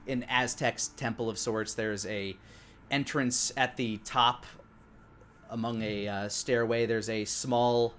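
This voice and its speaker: A man narrating a tabletop game as dungeon master, improvising and pausing often to search for words. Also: mid-range voice, a little hiss or hum.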